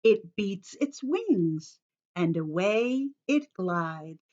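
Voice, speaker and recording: Slow, expressive woman teaching young children. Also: medium pitch, dull audio. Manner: simple short phrases, addressing children directly, careful emphasis. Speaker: children's teacher reading a picture book. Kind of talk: story time for young children, read-aloud and explanation.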